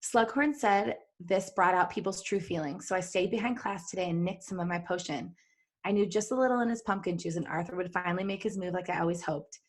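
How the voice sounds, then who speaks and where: A woman's voice: fairly low pitch, fairly quick. Giggly woman reading aloud and bantering. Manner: giggly, jokey, often breaking off for asides. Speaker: podcast co-host. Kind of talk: reading fan fiction aloud with casual banter.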